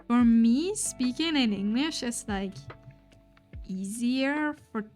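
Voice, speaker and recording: A woman's voice: on the high side, animated, slow pace, a little mellow. A young woman whose first language is German, livestreaming and chatting casually while she draws, thinking aloud with fillers and restarts. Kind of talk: casual chat while drawing. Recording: faint lofi music underneath.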